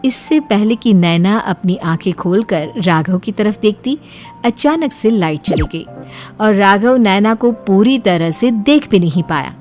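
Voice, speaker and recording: A woman's voice: mid-range voice, animated, a little slow, over music. A woman narrating a scripted moral story.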